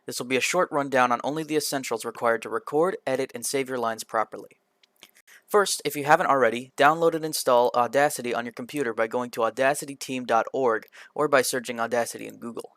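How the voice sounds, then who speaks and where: A man presenting a scripted software tutorial in an instructional, step-by-step manner, occasionally stumbling over a word. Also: mid-range voice, fast, dry recording. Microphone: computer microphone in a quiet home room, voice-over.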